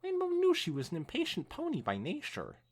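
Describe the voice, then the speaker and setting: A woman co-hosting a podcast, reading fan fiction aloud in an expressive, swooping storytelling style with casual asides. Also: sits high, a little fast.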